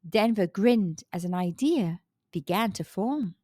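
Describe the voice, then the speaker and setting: A woman hosting a children's storytime, reading a story aloud in an expressive storytelling style. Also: medium pitch, a little slow, no room sound, clean studio sound. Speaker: children's storytime host and narrator.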